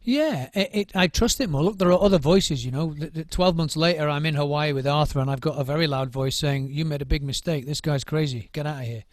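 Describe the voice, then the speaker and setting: Reflective man, slightly high, dry sound. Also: a little fast, hardly any pauses, no echo. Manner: searching for words, many restarts and repeated words. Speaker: drum circle facilitator and trainer. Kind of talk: reflective interview answers.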